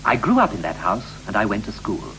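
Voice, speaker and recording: An actor playing a wistful British husband recalling his boyhood in clipped, poetic verse with deliberate pauses, in the style of a 1960s radio play. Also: lowish voice, huge pitch swings, a bit bright, rough recording. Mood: boyish reminiscence. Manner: incantatory short phrases, deliberate pauses. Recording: vintage 1960s radio recording with hum and hiss.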